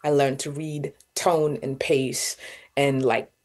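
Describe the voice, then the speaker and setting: A young woman from Sudan, Arabic her first language, answers in a low voice. She searches for her words, circling back with fillers and restarts as she reflects. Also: level tone, slow pace, darkish tone. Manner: thoughtful, many fillers and restarts. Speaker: guest poet and artist. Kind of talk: reflective interview talk.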